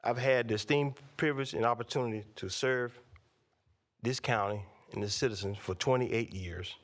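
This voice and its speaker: A man, introducing himself as a candidate at a local forum in a measured, plainspoken way. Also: mid-range voice. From North Carolina, USA. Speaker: candidate for county commissioner.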